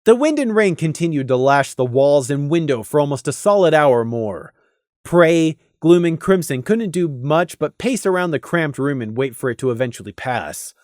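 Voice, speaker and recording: Expressive man narrating a story, close dry sound. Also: fairly high pitch, moderate pace, no room sound. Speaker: audiobook narrator. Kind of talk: scripted dramatic story narration.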